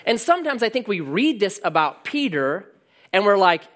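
A man preaching a sermon with a loud voice that carries, telling stories and cracking jokes. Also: sits high, moderate pace. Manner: animated storytelling with jokes. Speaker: pastor.

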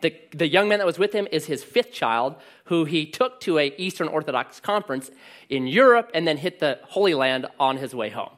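Loud, lively male preacher. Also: fairly high pitch, moderate pace. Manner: loud voice that carries; animated storytelling with jokes. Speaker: pastor. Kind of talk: sermon.

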